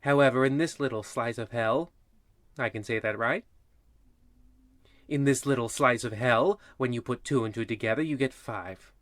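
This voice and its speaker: A man's voice: medium pitch, moderate pace, fairly bright tone, no echo, lightly acted. Man as wry old-radio horror host, close and dry. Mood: wry, mock-ominous. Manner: mock-ominous, chatty, digressive. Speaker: narrator, host. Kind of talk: parody of old radio show narration.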